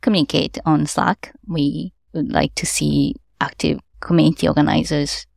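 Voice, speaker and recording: A woman with a low, heavily gravelly voice speaks careful non-native English; her first language is Japanese. She explains things earnestly and laughs lightly along the way. The sound is dry and close, with no room around it. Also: steady tone, moderate pace, darkish tone, no echo. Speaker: event organizer. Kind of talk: interview answers.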